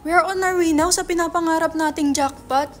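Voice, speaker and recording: A woman's voice: very high voice, steady tone, a little fast, music in the background. A woman with a Filipino accent acting a brash, sarcastic, money-minded young entrepreneur in a dramatic reading, mixing English into Tagalog. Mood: insistent. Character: brash, money-minded male website entrepreneur, teasing his friend. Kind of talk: dramatic reading of a stage script.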